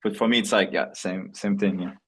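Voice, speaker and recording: A man's voice: deep voice, steady tone, fairly quick, a bit bright, slightly rough. A man with a Portuguese accent interviewing a fellow musician in casual, hesitant, repetitive phrasing full of "like" and "yeah".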